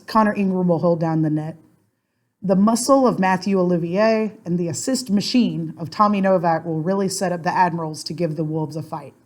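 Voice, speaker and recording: Hoarse woman giving a hockey preview. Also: lowish voice, a little mellow. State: hoarse, scratchy voice. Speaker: hockey contributor. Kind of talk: prepared hockey preview segment.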